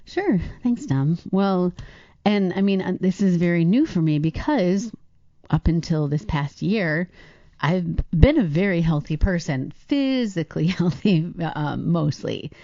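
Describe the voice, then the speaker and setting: A woman's voice: on the low side, expressive, a little slow, mellow, dry recording, highs cut off. A woman in her late forties co-hosting a podcast, speaking candidly and chattily about her own life. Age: late 40s. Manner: candid, chatty, laughs easily. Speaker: podcast co-host. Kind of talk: casual podcast conversation.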